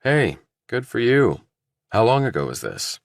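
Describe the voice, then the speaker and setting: A man narrating a thriller audiobook, reading scripted prose and character dialogue in a deep voice. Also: sing-song, measured pace, creaky, no echo, studio quality.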